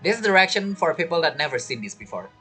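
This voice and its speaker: Low-voiced woman commenting over a game. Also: sing-song, fast talker, fairly bright tone, soft background music. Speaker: streamer reacting to a game. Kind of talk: casual playthrough commentary.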